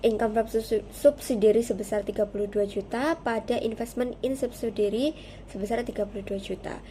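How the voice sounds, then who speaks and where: A woman's voice: a little high, normal speed, mellow, slight background noise. A young woman giving a prepared class presentation as a student, explaining step by step in a formal, methodical way. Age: young adult.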